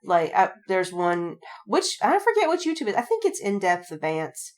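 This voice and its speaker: A woman's voice: medium pitch, normal speed. A woman co-hosting a podcast in casual fandom chat, rambling with lots of "like" and swearing, acting out people's reactions as she retells them. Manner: animated retelling with quoted reactions. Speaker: podcast co-host.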